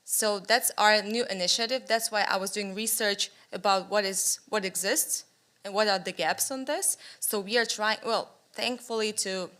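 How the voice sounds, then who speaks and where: A woman's voice: mid-range voice, moderate pace. A young woman with a Ukrainian accent speaking enthusiastically at a panel discussion in a conference room, using a table microphone. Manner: enthusiastic, animated, with gestures.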